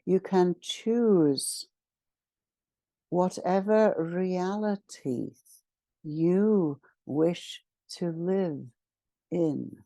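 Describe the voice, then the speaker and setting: Female meditation guide: extremely slow, solemn and ceremonial, soft-edged mellow tone, long pauses between phrases. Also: fairly low pitch, dry recording. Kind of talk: guided meditation, spiritual invocation and channelled message.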